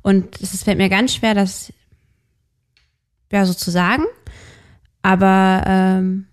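A woman's voice: mid-range voice, flat delivery, slow pace, smooth. Hesitant German woman in her thirties. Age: 30s. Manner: hesitant, many fillers and restarts. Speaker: podcast co-host. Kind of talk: candid personal conversation.

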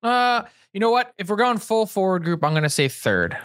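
Higher-voiced man musing on hockey picks. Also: big pitch swings, normal speed, clean tone, dry recording, clean studio sound. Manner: musing, thinks out loud. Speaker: podcast co-host. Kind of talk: casual sports podcast chat.

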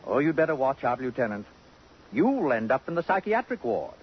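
An actor playing a haughty, sarcastic society gentleman with elaborate, pompous diction in a 1950s radio drama. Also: medium pitch, sing-song, a little fast, a little hiss or hum, natural voice. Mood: mocking. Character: haughty, possessive, acid-tongued society gentleman, rival of a detective. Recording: muffled 1950s radio recording.

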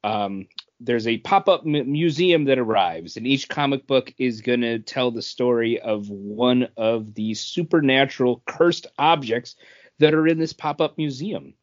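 A man's voice: mid-range voice, unhurried, lo-fi sound. A man chatting informally about comic books as a bantering podcast co-host.

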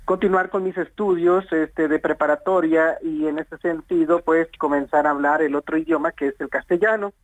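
A man from Chiapas whose first language is Tzotzil, speaking by telephone as a novelist guest, measured with frequent fillers. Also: a little high, fairly even intonation, no echo, highs cut off. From Chiapas, Mexico. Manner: measured, uses many fillers.

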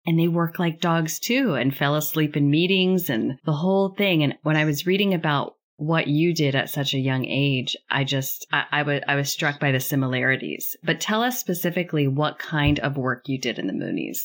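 A woman's voice: low voice, moderate pace, few pauses. A woman interviewing in a conversational, affirming way, relating what she hears to her own experience.

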